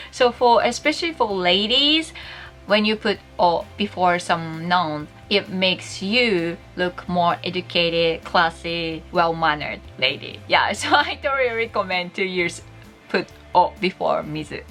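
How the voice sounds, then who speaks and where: A woman's voice: lowish voice, moderate pace, fairly bright tone, soft background music. A young woman from Japan, speaking English with a Japanese accent, giving a bubbly, chatty video lesson and laughing often. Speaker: Japanese language teacher.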